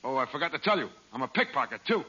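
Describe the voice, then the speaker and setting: Actor doing a brash pickpocket stranger, quick lively delivery, hissy old radio sound. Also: male voice, medium pitch, muffled recording, lightly acted. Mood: brusque. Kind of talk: 1940s radio comedy acting.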